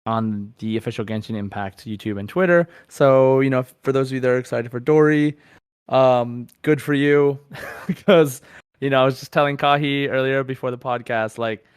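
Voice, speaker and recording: A man's voice: mid-range voice, normal speed, smooth, dry recording, studio quality. A man hosting a gaming podcast, chatting casually and informally with a fan's enthusiasm. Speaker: podcast host.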